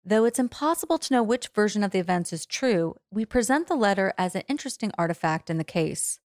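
A woman's voice: medium pitch, animated, quick pace, dry recording, studio quality. A woman reading scripted narration as a podcast host.

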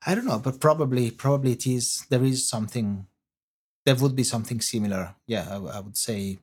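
A man with an Italian accent and Italian as his first language, a guest on a podcast interview, answering in halting English as he searches for words. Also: mid-range voice, darkish tone, slightly rough. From Italy. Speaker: guest composer.

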